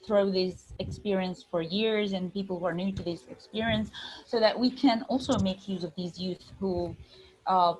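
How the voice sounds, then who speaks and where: A woman's voice: mid-range voice, slight background noise, rough recording. A woman answering a question at an online forum, hesitant and restarting her phrases. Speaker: participant. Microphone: computer mic on video call.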